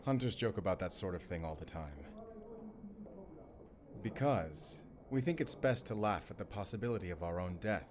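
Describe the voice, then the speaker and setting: An actor playing a composed, dryly joking German wolf hunter and spy in an audio roleplay, with a German accent and a measured, formal manner. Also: male voice, low-pitched, noisy background. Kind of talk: cinematic audio roleplay acting, speaking to the listener.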